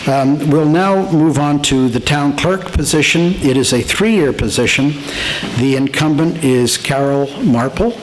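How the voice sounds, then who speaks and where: A man moderating a town meeting, brisk, formal and procedural. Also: a little high. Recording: crowded hall with clear crowd noise.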